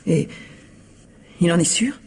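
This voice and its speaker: A woman's voice: slow, a little mellow, close to an everyday voice. A woman acting an anxious, evasive middle-aged ex-wife in a radio crime drama, hesitant, nervous and polite.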